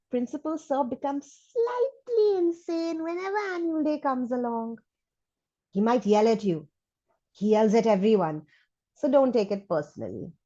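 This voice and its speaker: A woman voicing a chatty, frank, excitable school child in an animated storybook read-aloud, gushing with dramatic emphasis. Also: fairly high pitch, dull audio, voice pushed up. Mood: confiding.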